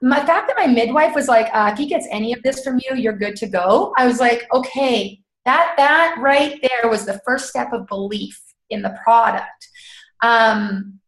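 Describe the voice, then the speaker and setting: A woman speaking casually and with feeling about her work as a coach, emphatic and quick to laugh. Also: on the high side. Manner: enthusiastic, emphatic, laughs often. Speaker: network marketing coach. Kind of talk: casual testimonial talk. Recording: echoey room.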